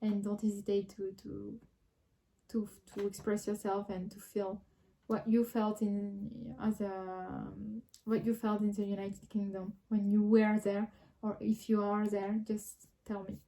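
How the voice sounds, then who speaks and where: French-accented woman with a mellow voice. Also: medium pitch, flat delivery, measured pace, vocal fry, poor audio. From France. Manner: frequent restarts and hesitations, reflective. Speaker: vlogger. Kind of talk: casual personal vlog.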